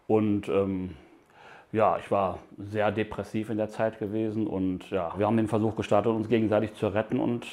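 A man's voice: lowish voice, level tone, moderate pace, a little mellow. A man in his mid-fifties talking hesitantly and reflectively about his past in an interview. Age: 50s. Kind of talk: personal interview.

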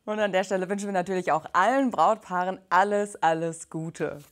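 A woman's voice: on the low side, steady tone, brisk. A woman presenting a news segment in a scripted, upbeat way.

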